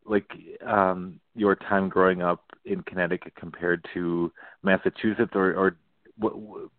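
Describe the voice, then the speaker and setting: A young man hosting a baseball talk show, eager and halting, with many restarts and nervous laughs. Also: sits low, flat delivery, no room sound. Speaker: podcast host. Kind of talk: casual interview. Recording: tinny phone-quality line, dry.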